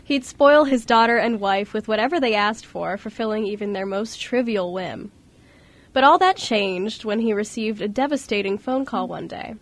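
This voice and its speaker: A woman's voice: mid-range voice, expressive, moderate pace. A woman reading scripted story narration as narrator of an amateur radio play. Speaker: radio show co-host and narrator.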